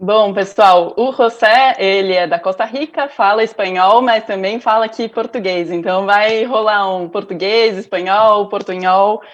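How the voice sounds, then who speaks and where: A woman moderates an online panel in a friendly, easy way, starting her sentences with small fillers. Her pitch sits in the ordinary middle. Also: fairly even intonation, normal speed, hardly any pauses, highs cut off.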